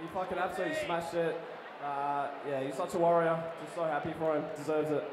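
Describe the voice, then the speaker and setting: A man, hoarse from cheering, speaks excitedly and swears freely. He sits back from the mic in an echoey hall with a long tail. Crowd noise carries behind him. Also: a little high, fast talker, distant mic. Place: crowded ballroom.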